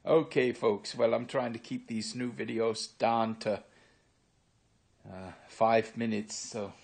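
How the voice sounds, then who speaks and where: A man of sixty with a Pennsylvania Dutch accent, vlogging in a rambling, unhurried way with many filler pauses, recorded on a handheld phone in his room. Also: mid-range voice, steady tone, vocal fry. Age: 60s. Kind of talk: casual walk-through monologue. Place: his own room indoors. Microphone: phone camera held by speaker.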